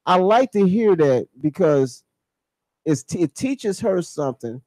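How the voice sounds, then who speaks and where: A man's voice: high-pitched, very animated, a little slow, mellow, no echo, low-quality recording. An American man hosting a casual radio interview, talking in an informal, slangy way and often repeating his phrases. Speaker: radio show host.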